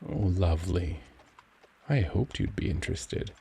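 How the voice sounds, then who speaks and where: A man playing a young, charming, cultured vampire gentleman in an ASMR audio drama, speaking in a gentle, caring, genteel and formal manner. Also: sits low, measured pace, gravelly, a little hiss or hum. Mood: charming, inviting. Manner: genteel, formal, soft-spoken, courtly phrasing.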